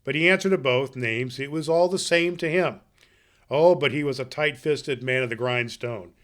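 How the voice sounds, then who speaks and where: A man playing a jolly, grandfatherly old Santa Claus, hosting a podcast for children in a folksy, halting manner. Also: medium pitch, a bit bright, natural voice. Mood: storytelling. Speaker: podcast host. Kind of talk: story reading and chat to children.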